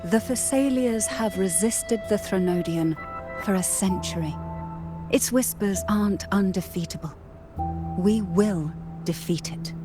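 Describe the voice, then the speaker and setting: A solemn matriarch from a fantasy video game, played by an actress speaks at a medium pitch. The voice is composed, assured and formal, set over clear background music. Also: female voice, measured pace, close to an everyday voice. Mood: steady, reassuring. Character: solemn, steadfast matriarch of an ancient family.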